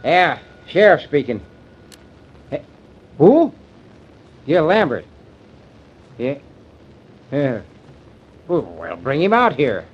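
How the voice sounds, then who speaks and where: An actor in 1940s radio acting, playing a blustering, folksy small-town sheriff who takes charge. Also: male voice, fairly high pitch, very animated, slow pace, long pauses, breathy edge, full character voice. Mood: exasperated. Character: gruff small-town sheriff, middle-aged man. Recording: dull old radio recording with faint hiss.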